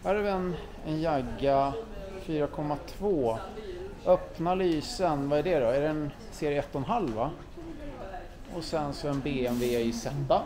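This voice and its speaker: A man talks his way through an indoor car museum while holding the camera, chatty and full of enthusiasm, often thinking aloud and correcting himself mid-sentence. The murmur of other visitors is clearly heard behind him. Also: on the high side. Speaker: car vlogger touring a museum. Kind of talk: casual walk-through commentary. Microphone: handheld camera mic, walking. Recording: visitor crowd in background. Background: crowd murmur of museum visitors.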